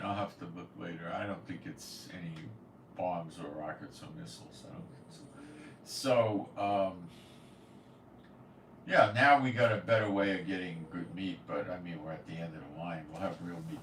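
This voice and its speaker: A man hosting a prophecy commentary channel, talking in a casual, rambling monologue with a blunt, scoffing, opinionated manner. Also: low-pitched. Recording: echoey room, far from the mic, faint noise.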